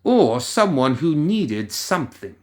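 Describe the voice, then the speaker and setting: A man reading a story as a dramatic narrator, musing theatrically with deliberate pauses and rhetorical asides. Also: on the high side, big pitch swings, darkish tone. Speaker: audio fiction reader.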